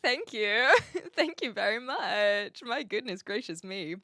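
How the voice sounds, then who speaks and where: A woman's voice: fairly high pitch, expressive, takes it slowly, pushed and bright, no room sound, clean studio sound. A woman with a New Zealand accent, chatting casually on a livestream in a playful, rambling, teasing way as she answers viewers. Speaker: live streamer.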